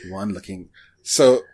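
A man hosting a podcast, chatting casually about shows in a halting, hesitant way. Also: fairly low pitch, darkish tone. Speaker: podcast host.

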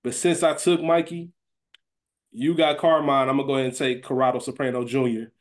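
A man's voice: medium pitch, little pitch movement, a little fast. A man co-hosting a podcast, chatting casually in colloquial, slangy language. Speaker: podcast co-host. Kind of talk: casual chat.

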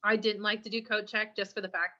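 A young woman from California, a podcast co-host, chatting casually and slangily while she keeps clearing her throat. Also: mid-range voice, flat delivery, fast, sharp tone. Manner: chatty, slangy, swears freely. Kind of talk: casual chat. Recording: muffled lo-fi recording.

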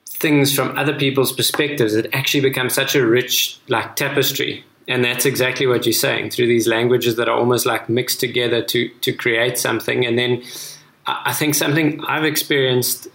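Casting: thoughtful Afrikaans-speaking man in his thirties, mid-pitched, reflective, recorded in a roomy space. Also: steady tone, moderate pace, a bit bright. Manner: earnest, thoughtful, frequent restarts. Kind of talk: reflective casual discussion.

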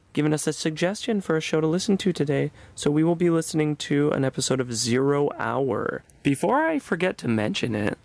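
A man's voice: fairly high pitch, no echo. A man hosting a podcast in a conversational presenting style. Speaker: podcast host.

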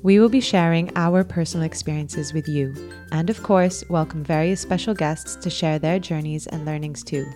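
A woman's voice: low-pitched, normal speed, dark tone. A woman co-host delivering a scripted podcast introduction to listeners. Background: faint music.

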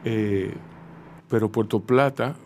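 A man's voice: lowish voice, slow pace, a little mellow. A man with a Dominican accent hosting a casual radio chat, emphatic and prone to repeating his phrases. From Santo Domingo, Dominican Republic. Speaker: radio interview host. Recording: faint background noise.

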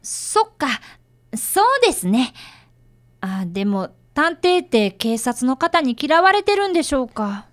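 Radio drama schoolboy played by a woman: polite, eager apprentice sleuth, high pitch, lively swings. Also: measured pace. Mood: sincere. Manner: polite, earnest. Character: earnest polite fifth-grade boy, apprentice detective. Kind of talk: radio drama acting with first-person narration.

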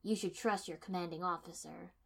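Woman doing a stiff, calm young ninja. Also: on the low side, a little fast, vocal fry, breathy edge. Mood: flat.

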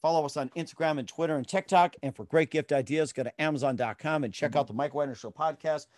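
A man's voice: on the high side, fast, darkish tone, dry recording, studio quality. A man hosting a podcast interview, reading a sponsor ad and then asking questions with many fillers. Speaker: podcast host. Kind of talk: interview with ad read.

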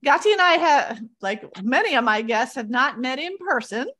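A woman hosts an interview show and greets her guest with emphatic, upbeat energy. Her voice sits a little on the higher side. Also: expressive, normal speed, pushed and bright. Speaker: host. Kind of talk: talk show hosting.

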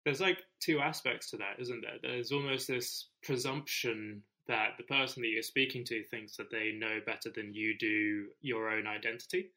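A man from the UK co-hosting an education podcast, explaining his points in a measured, thoughtful way. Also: medium pitch, steady tone, bright, forceful tone, rough recording. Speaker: podcast co-host, teacher. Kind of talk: casual conversation.

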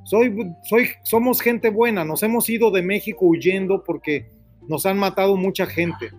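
High-voiced Mexican man hosting live radio. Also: a little slow, faint music behind. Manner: earnest, rambling and repetitive. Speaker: radio host. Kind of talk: live radio call-in talk.

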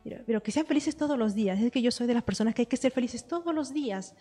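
A woman from Oaxaca with a Mexican Spanish accent tinged with a bit of Spain, talking warmly and effusively as an interview guest. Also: on the high side, lively intonation, quick pace, long reverb tail. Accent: Mexican Spanish with a slight Spanish tinge. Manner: warm, effusive, heartfelt. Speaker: guest, coach for domestic workers. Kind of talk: interview conversation.

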